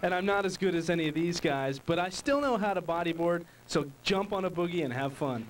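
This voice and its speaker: High-pitched joking bodyboarder from the US East Coast, mic at a distance, music behind. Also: male voice, expressive, brisk, background noise. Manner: joking, self-deprecating.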